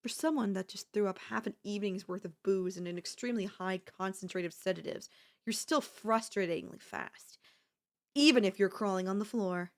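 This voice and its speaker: A woman's voice: mid-range voice, normal speed, close to an everyday voice. A woman playing an obsessive, scheming yandere in a theatrical, haughty manner with formal phrasing, in an ASMR audio roleplay. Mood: menacing, suspicious.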